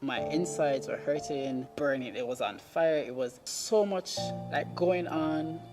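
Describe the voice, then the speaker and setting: A Jamaican-accented speaker tells a personal story at a slow pace. The voice sits at a middling pitch and moves in short, deliberate phrases. Music plays clearly underneath. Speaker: documentary subject telling own story.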